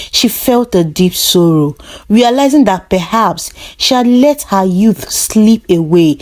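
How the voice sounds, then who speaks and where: A woman reads a scripted folktale as a storyteller, with a measured, expressive storybook delivery. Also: mid-range voice. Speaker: storyteller, narrator.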